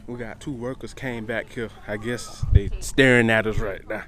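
An American prank vlogger chatting excitedly and casually to the camera, playfully repeating phrases over and over. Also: medium pitch, moderate pace. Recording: noisy handheld recording, vehicle and street sounds.

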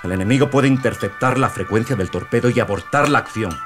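An actor playing an imperious yet courteous German dictator in a Spanish audio drama. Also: male voice, medium pitch, fast, over music, lightly acted.

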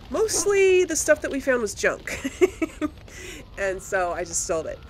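A woman's voice: medium pitch, slight background noise. A woman giving casual, chatty gameplay commentary to her viewers, often thinking aloud. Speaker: host of a video game let's play series.

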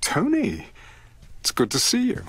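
Slick placating bank manager, lively pitch. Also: male voice, a little slow, full character voice. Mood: smooth, polite. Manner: smooth, placating. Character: slick, nervous, evasive male bank manager. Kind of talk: video game cutscene acting.

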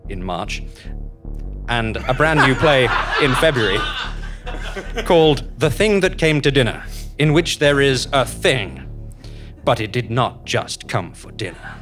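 A man's voice: mid-range voice, expressive, unhurried, music in the background. Male announcer with mock-grand delivery. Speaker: narrator and announcer. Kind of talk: scripted narration and closing credits.

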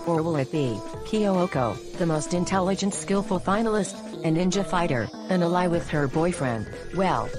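A woman narrating as the announcer of an animated competition show, hyping the finalists. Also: on the low side. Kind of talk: scripted narration. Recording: voice over loud music. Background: music.

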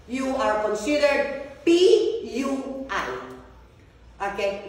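A woman in her sixties lecturing a class of nurses, animated and constantly quizzing them with check questions. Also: a little high. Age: 60s. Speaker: nursing lecturer. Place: large wood-floored meeting room, indoors. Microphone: far phone camera. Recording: echoey room from far off, some noise.

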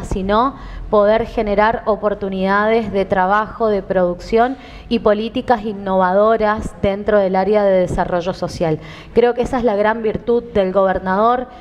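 A woman's voice: medium pitch, darkish tone, in a large hall, lo-fi sound, a little hiss or hum. Fluent woman politician. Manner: fluent, measured political speech. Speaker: government minister and candidate. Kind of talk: TV studio interview. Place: TV studio. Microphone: headset mic.

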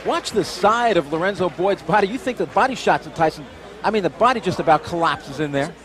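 A man's voice: high-pitched, animated, fairly quick. A man giving analysis of boxing replays as a broadcast commentator. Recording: noisy arena broadcast with crowd. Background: arena crowd.

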